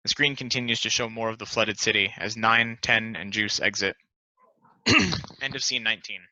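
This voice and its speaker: A man's voice: lowish voice, normal speed, sharp tone, dry recording. A man reading scripted stage directions as narration at a table read. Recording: dull, lo-fi close dry recording.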